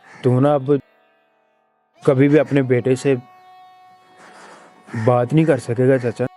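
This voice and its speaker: A sombre younger acquaintance, played by a man, speaks at a middling pitch. His delivery is grave and halting, as in a moral drama. Quiet music runs beneath. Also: little pitch movement, lightly acted. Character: sombre younger acquaintance breaking tragic news. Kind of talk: dramatised moral story acting.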